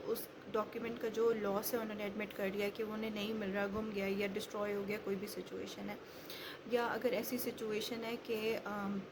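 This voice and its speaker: A woman teaches in an informal, explanatory way over clearly audible background noise. Her mid-range voice stays quite flat as she moves point by point, slipping English legal terms into her sentences and reaching for everyday examples. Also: mid-range voice. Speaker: law lecturer. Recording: clearly noisy, background ambience.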